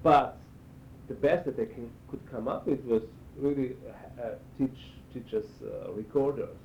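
An older man from Israel giving reflective interview answers, haltingly, repeating phrases as he searches for words. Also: creaky. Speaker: interviewee, cello teacher and performer. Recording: echoey, tinny band-limited sound with faint rumble.

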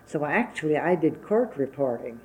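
A woman's voice: low voice, lively intonation, normal speed, a little mellow, low-quality recording. A woman in her seventies from Wisconsin whose first language was Czech, recalling her working life plainly and unhurriedly in an oral history interview. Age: 70s.

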